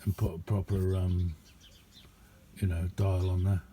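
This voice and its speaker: Low, mellow middle-aged man. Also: flat delivery, measured pace, a little hiss or hum. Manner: rambling, understated, self-deprecating. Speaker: amateur radio hobbyist showing his kit. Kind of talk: casual hands-on video chat. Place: small indoor radio room.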